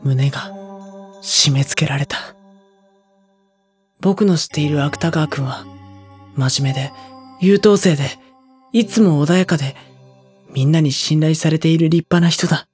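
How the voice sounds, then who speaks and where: A performer playing a gentle, sensitive teenage boy narrating and speaking in an audio drama. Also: mid-range voice, a little slow, pauses often, faint music behind, close to an everyday voice. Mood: pained, reflective.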